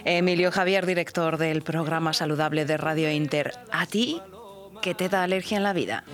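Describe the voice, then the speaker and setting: A woman hosting a radio show, giving smooth scripted introductions. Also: sits low, moderate pace, soft background music. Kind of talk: radio presenting.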